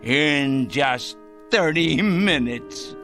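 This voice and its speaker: An actor playing a fussy, paranoid crank in a radio drama, with a theatrical, eccentric, self-satisfied ranting manner. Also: male voice, fairly high pitch, expressive, very slow, fairly bright tone, soft background music, exaggerated voice. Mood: indignant, agitated. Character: fussy, paranoid male crank obsessed with punishing evildoers.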